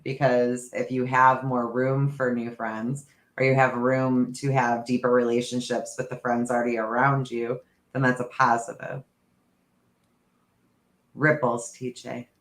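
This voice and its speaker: A man of middle pitch co-hosting a casual podcast, musing aloud and circling back on his points. His voice rings with a noticeable room echo. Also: little pitch movement, unhurried, fairly bright tone. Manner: rambling, reflective, talks through ideas aloud. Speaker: podcast co-host. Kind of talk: casual chat.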